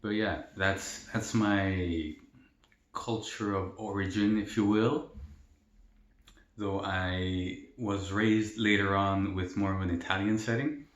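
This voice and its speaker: A young man from El Salvador talks through a casual question-and-answer vlog, relaxed and rambling with plenty of fillers. His voice sits fairly low. The room gives the recording a noticeable echo. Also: takes it slowly. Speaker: mukbang vlogger. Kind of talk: casual Q&A while eating. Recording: roomy echo.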